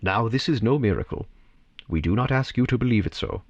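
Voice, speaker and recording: A man narrating a scripted story theatrically, with dramatic, deliberate phrasing and pauses. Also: medium pitch, animated, dry recording, lo-fi sound.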